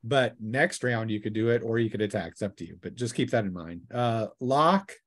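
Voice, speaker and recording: A man's voice: fairly low pitch, fairly quick, no room sound, studio quality. A man acting as game master, narrating tabletop combat casually and teasing his players.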